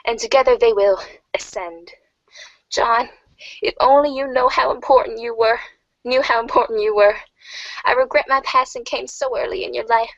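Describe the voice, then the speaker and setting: Casting: a woman as an affectionate elderly grandma, declamatory and earnest, fairly high voice, close dry recording. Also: fairly bright tone, dry recording, muffled recording. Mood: tender, wistful. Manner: formal, declamatory letter reading. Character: doting, earnest old grandmother reading her farewell letter. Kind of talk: dramatic reading of a letter.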